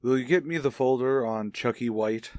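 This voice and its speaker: A man playing an inquisitive child psychologist, formal and deliberate, in a radio soap opera. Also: mid-range voice, darkish tone, no echo, lightly acted. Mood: measured, curious.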